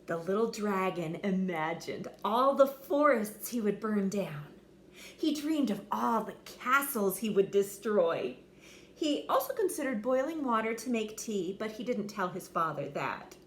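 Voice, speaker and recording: A woman's voice: medium pitch, sing-song, a little slow, fairly bright tone, slight background noise. A woman reading a children's story aloud with dramatic, theatrical inflection. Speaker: storybook reader. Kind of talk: expressive scripted story narration for children.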